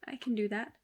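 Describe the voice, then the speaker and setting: A woman playing an earnest, blunt fifteen-year-old girl sleuth in an audio drama, speaking in formal, precise phrases. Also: a bit bright. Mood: subdued, earnest.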